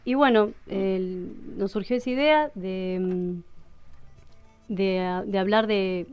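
A woman with an Argentine accent, explaining things casually and haltingly as a guest in a radio studio. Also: mid-range voice, clean tone, no echo, highs cut off, faint music behind. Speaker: radio play writer and guest. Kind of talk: casual interview chat.

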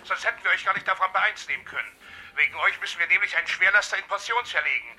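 A man's voice: high-pitched, animated, racing, bright, forceful tone, exaggerated voice. An actor playing a chatty, matter-of-fact male railway dispatcher talking over the telephone in a 1980s German radio drama. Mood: bargaining. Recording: telephone voice, music behind.

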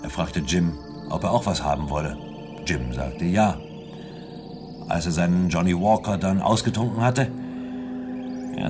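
An actor playing a matter-of-fact male investigator who recounts his hunt in a science fiction radio play. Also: low voice. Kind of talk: 1970s German science fiction radio drama acting.

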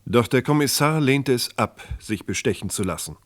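A man's voice: mid-range voice, lively intonation, normal speed, no echo. A man narrating a true-crime radio play from a script.

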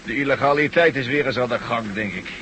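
An actor playing a chatty, down-to-earth truck driver with a brash, jocular, colloquial manner in a radio drama. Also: male voice, medium pitch, fairly quick, a bit bright, close to an everyday voice. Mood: confiding. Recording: noisy old radio play recording.

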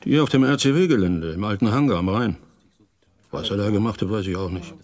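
A man's voice: on the low side, normal speed, darkish tone, close to an everyday voice. An actor playing an earnest, plain-spoken chess club chairman in a radio drama, reflective and puzzled. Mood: pensive, suspicious.

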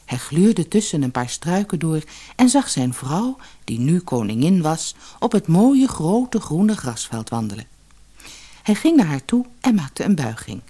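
A woman's voice: low-pitched, sing-song, unhurried, dark tone, husky. A woman reading a scripted fairy tale as an audiobook narrator.

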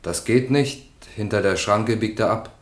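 A man's voice: lowish voice, measured pace, lightly acted. An actor playing an evasive, sullen man on trial in a radio crime drama, giving terse, reluctant answers in a roomy, echoing space.

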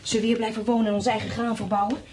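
Actress as a brooding, high-voiced survivor woman. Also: flat delivery, racing, darkish tone, a little hiss or hum, lightly acted. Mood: dreamy. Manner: brooding, sarcastic, swinging between dreamy and cutting. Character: bitter, frightened, mocking woman surviving a catastrophe with her partner. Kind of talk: naturalistic radio play acting. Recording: radio play with background ambience.

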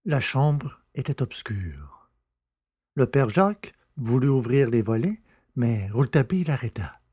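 A man's voice: mid-range voice, sing-song, a little slow, dark tone, breathy edge, dry recording, studio quality. A man reading scripted literary narration as the narrator of an audiobook.

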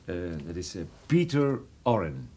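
An actor playing a weary, wry private detective in a radio drama, with a dry manner and hesitant, bursting delivery. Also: male voice, lowish voice, huge pitch swings, measured pace, mellow, slightly rough, heavily acted. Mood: wry, casual. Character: wry male private detective, weary, jumpy, suspected in smuggling.

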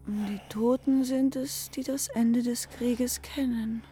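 Sombre ancient Persian noblewoman, languid, very slow, soft mellow tone, hushed radio drama. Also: a little high, fairly even intonation, faint music behind. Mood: weary, ominous. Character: drowsy, sombre royal woman of ancient Persia. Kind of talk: intimate radio drama acting.

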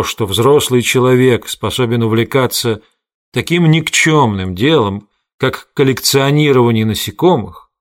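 Measured male audiobook narrator, medium pitch. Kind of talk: scripted literary narration.

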